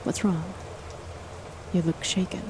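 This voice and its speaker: A woman's voice: slow, close to an everyday voice. A woman as a whispery, sinister chemist lover. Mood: quietly menacing. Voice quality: soft, whispery delivery. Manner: calm, polite on the surface, quietly threatening. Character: controlling, sinister woman chemist, the listener's partner. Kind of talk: ASMR horror roleplay. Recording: steady rain noise behind the voice. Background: rain.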